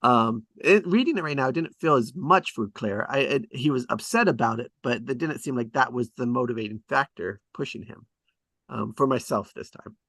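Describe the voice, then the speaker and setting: A man's voice: mid-range voice, animated, normal speed, a bit bright, no echo, studio quality. A man hosting a table read, chatting casually and hesitantly about scriptwriting as he thinks aloud. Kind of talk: casual rambling discussion of screenwriting.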